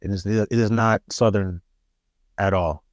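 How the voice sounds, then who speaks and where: A man with a New York accent, co-hosting a podcast in casual chat, soft-spoken and halting, often restarting his sentences with a self-deprecating air. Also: on the low side, steady tone, no room sound. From Queens, New York. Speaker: podcast co-host.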